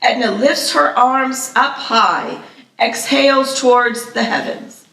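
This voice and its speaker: Fairly high-voiced woman narrator, echoey. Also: takes it slowly, creaky, a bit bright. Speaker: stage-direction narrator. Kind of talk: scripted narration at a live table read. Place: live festival event, echoey venue. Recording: echoey room, distant mic.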